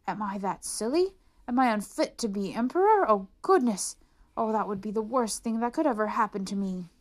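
A woman's voice: sits high, very animated, a little slow, fairly bright tone, pitched above the performer's own voice, heavily acted. A woman playing a fussy, vain emperor. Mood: worried. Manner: breathless, stammering exclamations. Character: vain male emperor, fretful and pompous.

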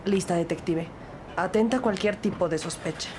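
An actress playing an observant junior detective, in first-person audio drama narration and dialogue. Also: female voice, fairly low pitch, normal speed, noisy background, natural voice. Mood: attentive, questioning.

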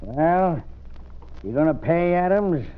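A man's voice: fairly high pitch, lively intonation, slow pace, clear, resonant tone. An actor playing a corrupt, threatening gunman marshal of the Old West in an old-time radio Western, with a commanding drawl. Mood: cold menace. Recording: muffled, noisy vintage radio recording.

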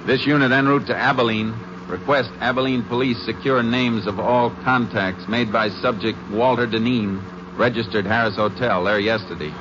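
An actor playing a laconic, methodical Texas Ranger in a 1950s radio drama, terse and matter-of-fact, with an American accent. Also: male voice, mid-range voice, fairly even intonation, moderate pace, hardly any pauses, noisy background, natural voice. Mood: brisk, official. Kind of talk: 1950s radio drama acting and first-person narration. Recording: dull old radio recording, music behind.

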